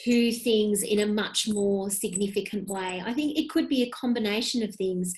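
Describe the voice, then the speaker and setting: A woman's voice: medium pitch, moderate pace, darkish tone. A woman in her thirties, a podcast guest on a webcam call, speaking in an impassioned, emphatic way. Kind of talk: conversational interview. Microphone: video call webcam.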